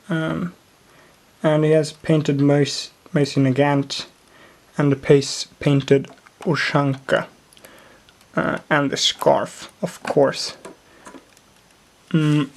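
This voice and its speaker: A native Swedish speaker with a Swedish accent, casually showing off a model build and often pausing to search for English words. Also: mid-range voice, darkish tone. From Sweden. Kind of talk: casual show-and-tell narration.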